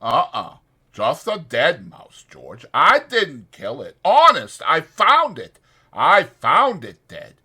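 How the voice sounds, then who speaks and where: A man's voice: a little high, wildly swooping pitch, slow, pushed and bright, slightly rough, voice pushed up, exaggerated voice. A man voicing a simple-minded, eager, forgetful working man, hesitant, repetitive and naive, in a dramatized audiobook. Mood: sheepish, then pleading.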